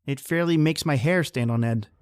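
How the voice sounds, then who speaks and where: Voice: mid-range voice, normal speed, darkish tone, clean tone, lightly acted. An actor playing John, a nervous, deferential male companion, anxious and complaining, in the style of old-time radio horror. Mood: fearful.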